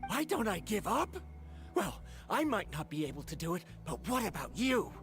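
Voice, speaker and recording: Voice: mid-range voice, sing-song, normal speed, vocal fry, fairly bright tone, soft background music. An actor playing a stubborn, proud young male adventurer, intense and defiant, in an anime-style video game. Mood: insistent.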